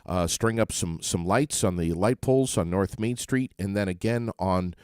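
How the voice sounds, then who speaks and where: A man's voice: sits low, moderate pace, a little mellow, clean tone, no room sound, studio quality. A man hosting a casual morning radio show, upbeat and chatty with lots of fillers.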